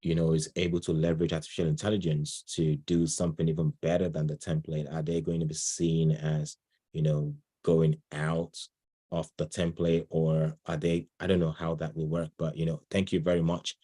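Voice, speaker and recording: A man's voice: rumbling low, little pitch movement, normal speed, mellow, breathy edge. A man moderating an online session in an informal, conversational and chatty way, full of thanks and encouragement. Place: online video call.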